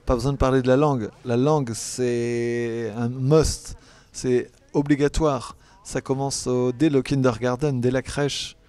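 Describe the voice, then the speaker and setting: A male conference interpreter with a warm, mellow voice, rendering a panel speaker live in short halting phrases. He often breaks off and corrects himself as he goes. The sound is dry and close, with no room around it. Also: medium pitch, little pitch movement, no echo. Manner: halting, with restarts and self-corrections.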